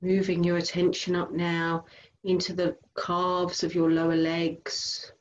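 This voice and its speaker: A woman leading a guided body scan meditation as a teacher, giving gentle instructions in short phrases with unhurried pauses. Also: fairly low pitch, monotone, lo-fi sound, slight background noise.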